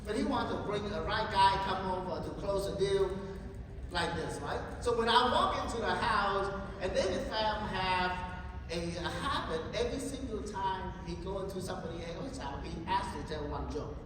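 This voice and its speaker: A man's voice: high-pitched, moderate pace. Man, sales trainer, joking storyteller, far from the mic, heavy hall echo, background noise. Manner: joking, storytelling, keeps checking in with the audience. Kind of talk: motivational sales training talk.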